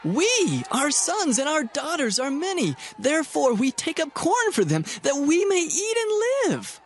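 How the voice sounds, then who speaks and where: A woman's voice: high voice, sing-song, measured pace, slight background noise. A woman voicing a pleading village woman. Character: worried woman of the crowd, complaining of hunger. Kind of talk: dramatized scripture reading.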